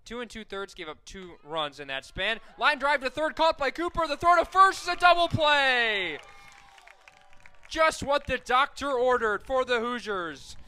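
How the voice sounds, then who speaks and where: A young man calling softball play-by-play on the radio, steady and full of stats, rising sharply on big plays. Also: very high voice, unhurried, clean tone. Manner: steady, fact-filled call that rises sharply on big plays. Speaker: play-by-play announcer.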